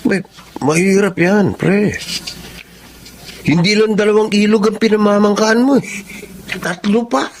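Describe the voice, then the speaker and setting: High-voiced, chummy male buddy. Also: measured pace, pauses often, slight background noise. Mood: teasing, curious. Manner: slangy, animated. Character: chummy, teasing, curious buddy of a womanizer. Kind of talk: Filipino radio drama acting.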